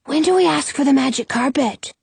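Woman playing an earnest little boy, high-pitched. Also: brisk, vocal fry, dry recording. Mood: eager, earnest. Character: eager young boy, the narrator's little brother.

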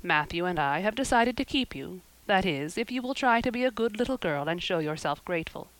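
A woman plays a strict, practical older guardian from a storybook. She is brisk, curt and disapproving, at a medium pitch in a dry recording. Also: expressive, no echo, lightly acted, rough recording. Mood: matter-of-fact. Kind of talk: audiobook character voice.

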